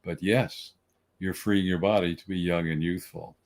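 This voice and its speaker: An older man with a very deep, low voice delivers an earnest motivational talk about wellness. He speaks steadily, then breaks into lively bursts of emphasis on the points he cares about. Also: normal speed.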